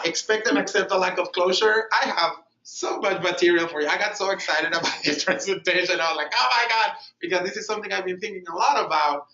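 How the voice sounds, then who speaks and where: A middle-aged man from Mexico with a Mexican accent, leading a workshop in an animated way and restarting his sentences as he thinks aloud. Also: sits high. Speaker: workshop facilitator. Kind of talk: workshop presentation. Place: meeting room. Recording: echoey room, dull lo-fi sound.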